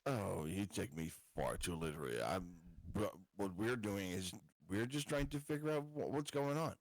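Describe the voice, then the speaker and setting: A man's voice: sits low, animated, normal speed, dry recording. A man playing a tabletop roleplaying game, talking casually in character with a hesitant, stammering delivery.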